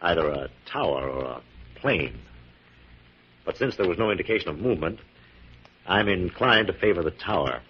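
An actor playing an assured, methodical scientist hero with a measured, authoritative manner, in 1940s radio drama style. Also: male voice, low voice, animated, slight background noise. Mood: businesslike, assured. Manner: crisp dictation, measured, authoritative. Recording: dull, muffled 1940s radio recording.

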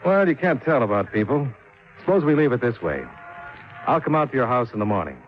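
A man's voice: mid-range voice, sing-song, fairly quick, natural voice. A man playing a shrewd private investigator in a 1950s radio drama, speaking in a clipped, dry, matter-of-fact way. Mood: casual. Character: shrewd, wry hard-boiled male private investigator. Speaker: narrator and lead of radio detective drama. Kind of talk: 1950s radio acting, hard-boiled narration. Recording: muffled 1950s radio recording with music.

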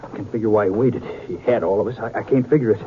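An actor playing an anguished, protective frontier husband, hurried and earnest, in a 1950s radio western. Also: male voice, fairly low pitch, natural voice. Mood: agitated worry. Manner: hurried, agitated. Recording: tinny, faintly noisy old radio recording.

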